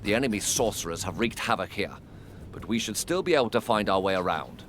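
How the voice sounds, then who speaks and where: An actor playing a battle-weary knight commander in a fantasy game, with a commanding, martial way of speaking. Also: male voice, lowish voice, very animated, a little fast, a bit bright, a little hiss or hum. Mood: stern, businesslike. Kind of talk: scripted fantasy game cutscene acting.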